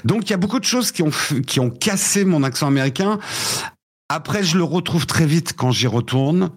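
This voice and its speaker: A man's voice: fairly high pitch, normal speed, slightly rough. Chatty man, American-born, telling his story. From United States. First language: English. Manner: animated storytelling with frequent restarts. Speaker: guest. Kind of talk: casual interview chat.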